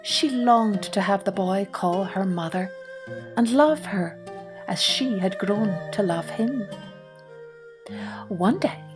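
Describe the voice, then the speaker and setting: Slow-paced woman telling a children's story. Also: mid-range voice, music in the background. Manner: expressive, hushed at suspenseful moments. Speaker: storyteller, podcast host. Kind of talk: scripted children's story narration.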